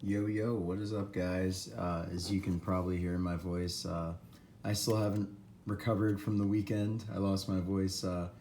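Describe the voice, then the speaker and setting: A hoarse man from Atlanta, talking casually to camera as an esports news vlogger, eager and fond of repeating words for emphasis. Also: low-pitched, level tone, moderate pace, warm tone, a little hiss or hum. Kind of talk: casual vlog talk to camera.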